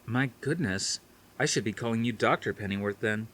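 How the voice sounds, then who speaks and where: A man's voice: fairly low pitch, normal speed, fairly bright tone. A man reading an audiobook, voicing a flustered, apologetic young mother. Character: harried young mother on a sudden trip.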